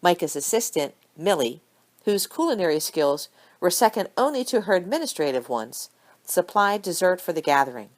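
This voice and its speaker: A woman reading a story aloud as an amateur audiobook narrator, with expressive delivery. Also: lowish voice, normal speed.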